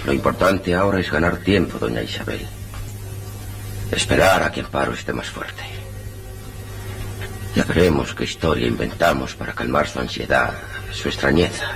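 An actor playing a grave, reassuring hospital doctor, measured and formal, in an old-fashioned radio serial. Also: male voice, a bass voice, creaky, fairly bright tone, faint music behind, full character voice.

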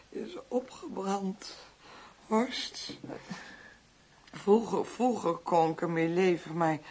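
A middle-aged lonely, hard-drinking mother with a husky, unclear voice. She speaks haltingly and slurs, repeating herself in a bitter, weary radio play manner. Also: on the low side, heavily acted. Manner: halting, repetitive, groaning and sighing. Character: bitter, lonely alcoholic mother, married to a policeman. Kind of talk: radio drama acting.

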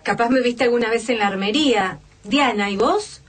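A woman's voice: on the high side, normal speed, a little hiss or hum, lightly acted. A woman with an Argentine accent playing an earnest survivor in a radio drama. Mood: tender.

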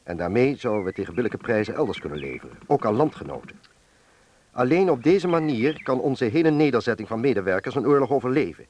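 An actor playing an earnest middle-aged factory director in a Dutch wartime radio drama, speaking in a measured, explanatory way. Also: male voice, mid-range voice, sing-song, darkish tone, slight background noise, close to an everyday voice. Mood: persuasive. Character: earnest male factory director defending collaboration in wartime Netherlands.

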